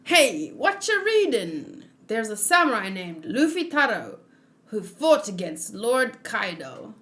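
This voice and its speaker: Animated, bright-voiced woman chatting casually. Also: mid-range voice, slow. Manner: animated, giggly, expressive reactions. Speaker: host of a manga read-along. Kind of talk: casual reaction chat.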